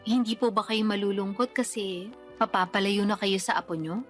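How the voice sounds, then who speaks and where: A woman's voice: mid-range voice, fairly even intonation, normal speed, soft background music, natural voice. A woman playing a respectful, remorseful young mother in a radio drama, speaking earnestly and deferentially to her parent. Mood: hesitant, earnest. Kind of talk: Tagalog radio drama acting.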